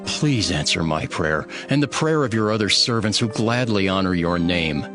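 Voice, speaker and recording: A man's voice: low-pitched, animated, normal speed. A man playing a devout, sorrowful Hebrew cupbearer who earnestly narrates his own story in a dramatized audio Bible. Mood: pleading, reverent. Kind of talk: dramatized first-person scripture narration. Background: background music.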